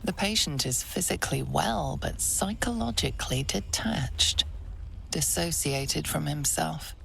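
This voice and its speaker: A grave, clinical healer in a fantasy video game. Her low voice is heavily creaked and gravelly, and she speaks slowly in measured, formal phrases. Faint ambience sits behind her. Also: a little hiss or hum, full character voice, low-quality recording. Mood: clinical, observant.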